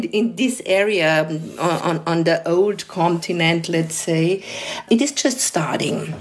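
A middle-aged woman speaks English in a low voice. She answers reflectively, pausing to search for words, with the odd soft laugh. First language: German. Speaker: artist and researcher.

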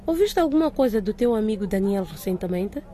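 Actress as middle-aged headmistress in radio drama, firm n probing, dark mellow tone, mid pitch. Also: female voice, animated, brisk, natural voice. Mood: questioning. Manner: authoritative, probing. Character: firm, inquisitive middle-aged female school director.

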